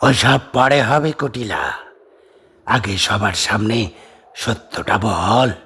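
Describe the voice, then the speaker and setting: A man voicing a stern, commanding holy man risen from a lake in a horror audio drama, speaking slowly and without forgiveness. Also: lowish voice, sharp tone, husky, full character voice. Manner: slow, commanding, unforgiving.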